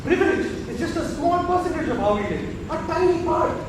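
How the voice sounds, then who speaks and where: A man's voice: very high-pitched, lively intonation, a little fast. A man playing a burdened emperor and father in a stage play, talking in a weighty, theatrical way. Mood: grave, imploring. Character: burdened emperor father reasoning with his son. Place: indoor theatre stage, distant mic. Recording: very echoey hall from far off, noisy.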